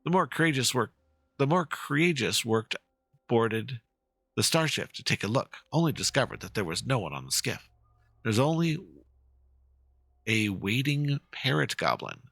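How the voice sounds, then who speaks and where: A man's voice: medium pitch, big pitch swings, moderate pace, creaky, long pauses, fairly bright tone, no echo, exaggerated voice. A man voicing a dramatic storyteller who recounts a spooky legend from a fantasy game in a theatrical way. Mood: eerie, suspenseful.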